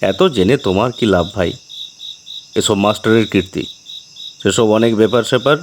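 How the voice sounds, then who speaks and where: A man playing a grim, knowing village man in a cartoon, explaining things in a forceful, impatient way. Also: fairly low pitch, moderate pace, slight background noise, close to an everyday voice. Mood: knowing, brusque.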